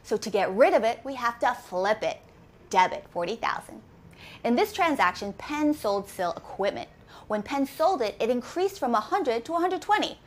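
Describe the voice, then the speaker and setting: A woman in her thirties teaching accounting, walking through each step and asking quick questions as she goes. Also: a little high, very animated. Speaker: accounting instructor. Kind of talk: recorded lesson walkthrough. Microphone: camera framed head and shoulders.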